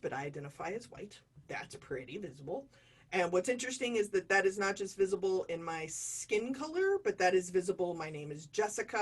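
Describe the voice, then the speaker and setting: A woman's voice: lowish voice, husky. Chatty self-deprecating woman in her forties. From United States. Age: 40s. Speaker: diversity trainer. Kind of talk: online training lecture.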